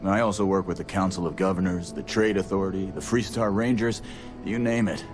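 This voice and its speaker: Casting: male actor as overworked general-store keeper, very low voice, tired and plain-spoken, game dialogue over clear music. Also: fairly even intonation, a little fast, close to an everyday voice. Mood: weary. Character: weary overworked frontier general-store keeper, adult man. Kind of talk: video game character dialogue.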